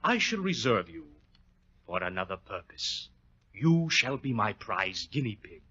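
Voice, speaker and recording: A suave, coolly menacing male criminal mastermind in an old radio play. His voice is forceful and swings theatrically through mock-polite gloating. The vintage recording is muffled. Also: fairly low pitch, sing-song, unhurried, slightly rough, full character voice. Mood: menacing, boastful. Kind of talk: 1940s radio acting.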